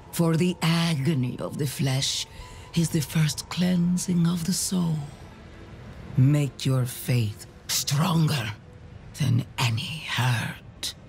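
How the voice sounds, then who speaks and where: Very slow, gravelly zealot preacher. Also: male voice, medium pitch, a little mellow. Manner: grave, commanding, archaic preaching. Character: stern, solemn male religious zealot guiding pilgrims. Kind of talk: solemn scripted game oration.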